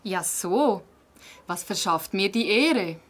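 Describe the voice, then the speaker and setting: A woman's voice: mid-range voice, animated, takes it slowly. A woman playing a chatty, protective hedgehog mother in a children's audio play, with a Swiss German accent and a folksy, emphatic manner. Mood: friendly, curious.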